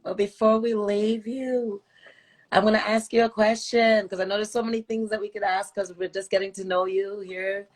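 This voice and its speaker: Expressive woman host with tinged speech. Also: medium pitch, normal speed, rough recording. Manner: expressive, slips into patois phrasing. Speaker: interview host. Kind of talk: casual live interview hosting. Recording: livestream video call.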